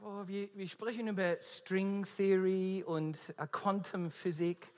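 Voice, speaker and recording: Man with Australian accent, preacher, earnest and emphatic, slow deliberate delivery, reverberant hall. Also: high voice, level tone, a little mellow. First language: English. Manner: earnest, emphatic, searching for words in German. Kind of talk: evangelistic sermon.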